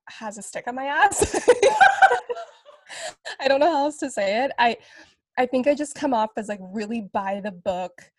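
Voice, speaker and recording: A woman's voice: on the high side, expressive, unhurried, pauses often, dry recording. Young woman co-host, casual and self-deprecating, filler-heavy, dry and close on the mic. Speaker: podcast co-host. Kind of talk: casual chat.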